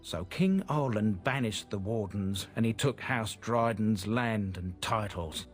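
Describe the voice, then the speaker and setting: Folksy, earnest fantasy merchant, music behind. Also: male voice, fairly low pitch, lively intonation, measured pace. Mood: earnest, proud. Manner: folksy, chatty, inverted phrasing. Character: male merchant of a proud disgraced family, earnest, chatty. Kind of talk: fantasy video game dialogue. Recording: game audio with clear music behind. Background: game music.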